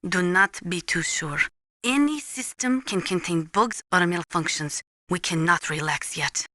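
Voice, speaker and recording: A woman's voice: fairly low pitch, a little slow, sharp tone, no room sound, studio quality. A woman playing a serious military weapons expert in a video game, briefing a soldier in a formal, precise, instructive manner. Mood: serious, cautionary. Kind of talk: scripted video game voice acting, explanatory briefing.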